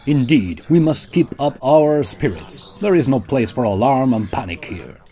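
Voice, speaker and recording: A man's voice: mid-range voice, animated, moderate pace, mellow, natural voice. A man playing a composed, encouraging member of a Victorian opera company in an audio drama. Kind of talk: period farce audio drama.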